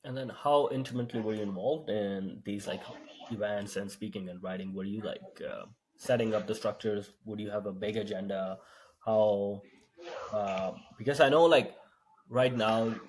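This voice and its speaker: A man's voice: fairly low pitch, normal speed, rough recording. A man hosting an interview, asking long, meandering questions padded with fillers. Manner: rambling questions full of "like" and "uh". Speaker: podcast host.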